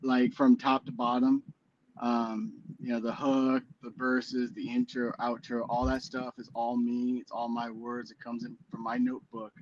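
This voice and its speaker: A man's voice: medium pitch, a little slow, vocal fry, highs cut off, slight background noise. A man in his forties, a guest on a casual chat show, talking in a rambling, hesitant way with many fillers and restarts. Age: 40s. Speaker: guest musician.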